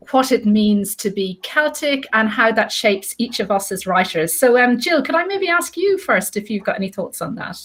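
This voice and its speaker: A woman with an Irish accent hosting a casual panel discussion, chatty and quick. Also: mid-range voice, a bit bright. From Ireland. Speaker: panel host, crime writer.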